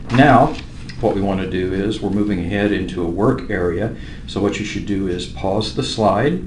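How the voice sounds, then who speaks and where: A man teaching math, working through problems step by step in a measured way with pauses. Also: sits low. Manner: measured, with pauses while writing. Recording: roomy echo with faint background noise.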